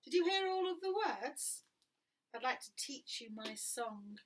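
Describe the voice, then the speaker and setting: A woman with an English accent, teaching young children to sing in a gentle, encouraging way. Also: high-pitched, sing-song, unhurried, slight background noise. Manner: gentle, encouraging, sings phrases for copying. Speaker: music teacher. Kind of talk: children's singing lesson.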